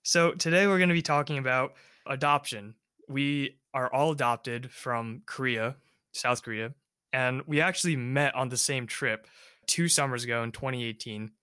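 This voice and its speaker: A man's voice: mid-range voice, normal speed, clean tone, no room sound, studio quality. A young man hosting a casual chat, talking loosely with lots of filler words. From United States. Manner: relaxed, rambling, many fillers. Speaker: host.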